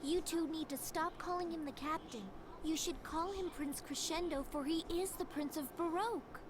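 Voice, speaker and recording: A woman's voice: very high-pitched, normal speed, noisy background. An actress playing a prim, polite and earnest young girl in a dubbed fantasy video game. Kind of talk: English anime-style video game dub.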